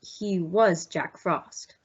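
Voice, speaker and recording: A woman's voice: lowish voice, very slow, slightly rough, full character voice. Woman as a proper stuffy businessman, bit hesitant, old radio mystery, muffled recording. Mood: formal, uneasy. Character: stuffy, formal, worried male department store executive. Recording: dull lo-fi audio.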